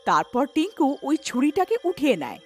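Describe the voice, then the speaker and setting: A woman's voice: high voice, big pitch swings, normal speed, soft background music. A woman reading scripted narration for a cartoon horror tale.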